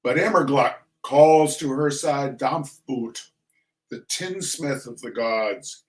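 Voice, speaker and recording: A man giving a theatrical reading of a humorous text in a mock-solemn, deliberate manner with dramatic pauses. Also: medium pitch. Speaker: reader of a comic essay.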